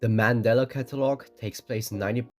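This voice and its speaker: A man's voice: mid-range voice, steady tone, a little fast, darkish tone. A man reading scripted explainer narration in a matter-of-fact, orderly way. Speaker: narrator of a ranking video. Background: background music.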